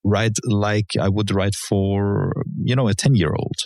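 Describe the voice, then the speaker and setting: Deep gravelly man, halting. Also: fairly even intonation, darkish tone, dry recording, studio quality. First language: German. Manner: hesitant, many fillers and restarts, measured explanations. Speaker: guest, legal professional. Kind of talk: relaxed conversational interview.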